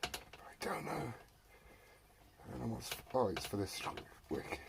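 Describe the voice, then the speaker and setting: British male hobbyist, mid-pitched, jokey and foul-mouthed, chatting loosely to viewers and himself; noisy, clicky workbench recording. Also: expressive, slow, vocal fry. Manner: sweary, jokey muttering to himself. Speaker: hobbyist repairer presenting a video. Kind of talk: rambling casual workbench chat to viewers.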